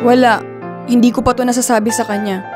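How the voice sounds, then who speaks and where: A woman's voice: fairly high pitch, fairly even intonation, fairly quick, over music, lightly acted. A woman with a Filipino accent playing a desperate, stubborn young woman in a radio drama. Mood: defensive. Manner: insistent, pleading, defensive.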